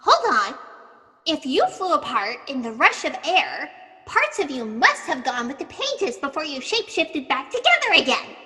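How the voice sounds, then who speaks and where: Woman as a squeaky, flustered office underling. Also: moderate pace, in a large hall, heavily acted. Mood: impatient. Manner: excitable, apologetic. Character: flustered, fast-talking, anxious young female fact-checker underling. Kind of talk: sci-fi satire audio drama acting.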